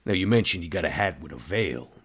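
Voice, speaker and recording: A man voicing a gruff, streetwise tough-guy vampire with a gravelly, husky voice and slangy, blunt talk. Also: sits low, animated, fast talker, a bit bright, exaggerated voice. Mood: businesslike. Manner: slangy, blunt, hard-boiled.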